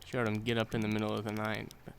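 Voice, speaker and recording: An actor playing a curious young adult son who keeps pressing with questions in a radio drama. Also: male voice, fairly low pitch, completely flat delivery, very fast, vocal fry, slight background noise. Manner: insistent, questioning.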